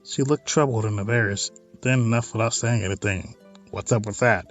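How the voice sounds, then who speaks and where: A man's voice: lowish voice, normal speed, soft background music. A man hosting a let's play of a visual novel, reading text aloud and adding informal, wry asides. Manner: informal, wry side comments on what is read.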